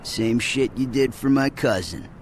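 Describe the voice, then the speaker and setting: An actor playing an easygoing, streetwise smuggler using casual slang in a video game cutscene. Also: male voice, medium pitch, unhurried, slight background noise, lightly acted. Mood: casual, businesslike.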